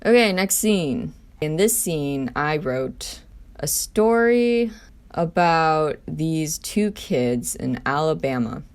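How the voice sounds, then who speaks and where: A woman with a quite low voice talks slowly and casually about her own writing. She is hesitant and a little self-deprecating as she explains it. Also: animated. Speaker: writer presenting own scenes. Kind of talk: casual chat and script reading.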